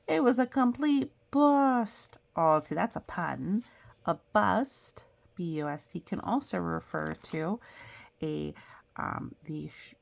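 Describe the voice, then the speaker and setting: A woman's voice: fairly low pitch, creaky. A woman reading a picture book aloud as a storyteller, expressively, with chatty, explanatory asides for young listeners.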